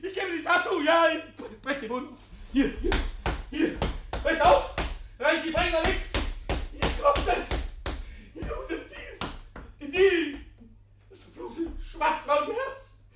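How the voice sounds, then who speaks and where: An actor playing a deranged, guilt-ridden murderer in 1950s German radio drama, halting and repeating himself, given to breathless whispers and shrieks. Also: male voice, very high-pitched, animated. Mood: hysterical, raging. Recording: noisy 1950s radio recording.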